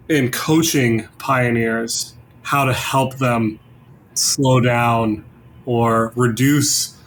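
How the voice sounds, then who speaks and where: Guy hosting a business chat, average pitch, conversational, bit of noise behind him. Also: low-quality recording. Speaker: host of a business discussion. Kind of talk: conversational interviewing. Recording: faint background noise.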